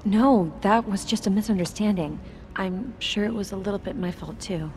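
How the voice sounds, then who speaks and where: A woman's voice: medium pitch, moderate pace, darkish tone, soft background music, lightly acted. An actress playing a wounded, distrustful young woman in a video game drama, speaking in an intense, bitter and guarded manner. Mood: polite, uneasy.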